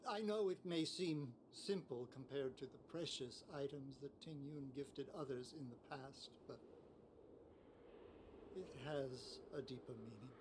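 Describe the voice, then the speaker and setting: A man playing an earnest, sentimental young envoy in a video game, speaking in a halting, heartfelt way. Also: medium pitch, noisy background, natural voice. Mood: earnest, tender. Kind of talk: scripted video game acting.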